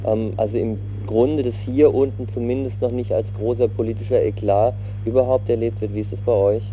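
A man working as a radio interviewer, asking news questions in a halting, informal way. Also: medium pitch, steady tone, dark tone. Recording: muffled, faintly noisy radio recording.

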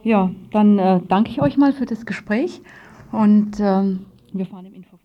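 A woman's voice: mid-range voice, moderate pace. A woman presenting a live radio news magazine, handling interviews and introducing reports. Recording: dull, lo-fi radio recording.

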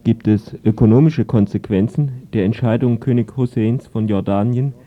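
A man's voice: fairly low pitch, normal speed. A man asking formal questions as an interviewer. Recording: slightly dull radio sound.

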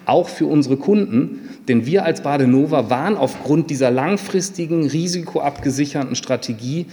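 A man's voice: fairly high pitch, moderate pace, mellow, slight background noise. A man giving a formal presentation as a company executive. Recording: reverberant meeting hall.

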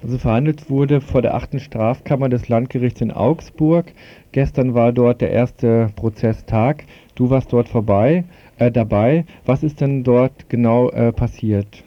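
A man's voice: mid-range voice, a little slow, no room sound. A man presenting a radio news report as host and interviewer, on a slightly dull recording.

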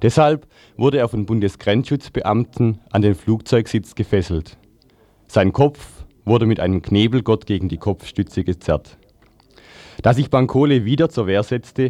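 A man's voice: on the low side, warm tone, dry recording. A man reading scripted radio news in standard speech.

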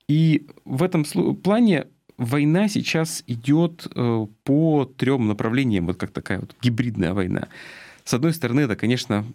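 A man from Russia delivering political commentary in an earnest, explanatory way, with a halting delivery and frequent pauses. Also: mid-range voice, a little mellow. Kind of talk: spoken political commentary, semi-prepared talk.

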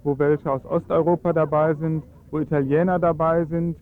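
A man's voice: a little high, little pitch movement, moderate pace, phone-quality sound. A man speaking German as his first language, earnestly explaining his views in a radio interview with frequent hesitations. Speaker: Esperanto advocate.

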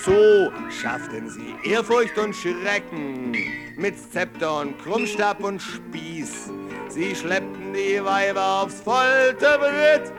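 A man's voice: sits high, animated, takes it slowly, over music. A man singing a satirical political folk ballad in a mocking, theatrical way, over musical accompaniment. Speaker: protest folk singer. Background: musical instrument.

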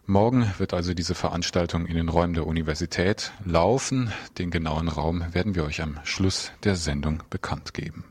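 A man presenting and interviewing live on radio, in a halting, stumbling delivery with a husky voice. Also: low voice, a little mellow.

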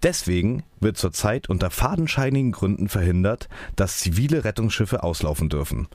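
A man's voice: lowish voice, animated, fairly quick, a little mellow, dry recording. A man, an activist, reading out a scripted political statement. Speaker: activist speaker. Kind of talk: scripted political speech read aloud.

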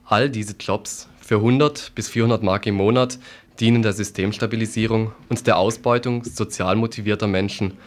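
A man with a fairly low voice works as a news presenter. He reads prepared commentary in a scripted broadcast style. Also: fairly even intonation, moderate pace.

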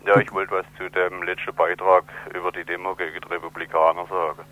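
A man's voice: low voice, level tone, a little fast, pushed and bright. A man calling a radio phone-in by telephone, giving critical opinions in a blunt, argumentative way over a dull lo-fi line. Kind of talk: critical opinion on a live call.

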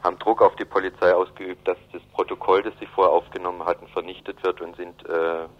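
A man giving a hesitant, analytical explanation in an unscripted radio interview, often pausing and rephrasing. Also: low voice, level tone. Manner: hesitant, halting, with frequent ums and rephrasing. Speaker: interviewee explaining South American politics. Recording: dull, band-limited radio recording.

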